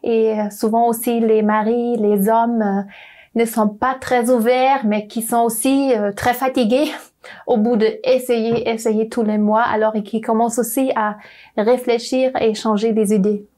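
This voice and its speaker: Slow, hesitant woman, non-native speaker. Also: fairly high pitch, little pitch movement, dark tone. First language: not French. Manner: hesitant, searching for words, many fillers. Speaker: doctor at a clinic. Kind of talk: interview guest.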